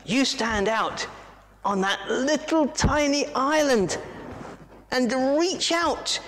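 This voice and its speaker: A commanding male elephant from a spoken children's storybook, as a storyteller puts him on. He gives calm directions at a slow pace, with a long hall reverb behind him. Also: sits high, a little hiss or hum, voice pushed up, heavily acted. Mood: directing.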